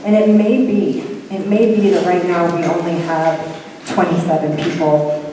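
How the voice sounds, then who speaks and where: A soft-spoken woman, an end-of-life doula, addressing a public meeting in a friendly, hesitant way. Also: on the low side, level tone, normal speed. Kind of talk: public comment. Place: large fairgrounds meeting hall. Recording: echoey hall, distant mic, noisy.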